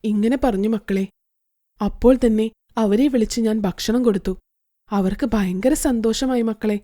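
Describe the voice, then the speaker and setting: A woman voicing a kind older mother-in-law in an animated story who speaks in a soft, low and gentle way. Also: moderate pace, close to an everyday voice.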